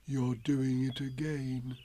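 A man voicing a simple, humble bear in a children's storybook, with a plaintive, gentle manner. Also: a little high, no echo, voice pushed up. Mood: insistent. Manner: plaintive, gentle, slightly slow-witted.

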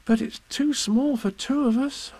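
A man's voice: very high voice, very animated, normal speed, pitched above the performer's own voice, heavily acted. A man voicing an innocent, affectionate young boy in a children's story, earnest and childlike. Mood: worried. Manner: bright-eyed, earnest, childlike questioning.

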